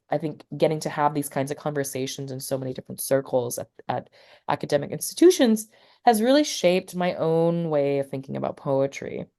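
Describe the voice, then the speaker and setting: Woman, low pitch, casual interview guest, rambling, lots of fillers. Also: warm tone. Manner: rambling, many fillers like "like" and "you know". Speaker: poet and teacher, interview guest.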